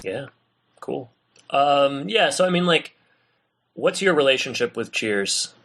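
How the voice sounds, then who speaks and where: An American man talks casually as a podcast co-host. His bright voice moves animatedly up and down and often drops into gravelly creak, with a teasing, informal delivery. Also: mid-range voice, animated, takes it slowly. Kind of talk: casual chat.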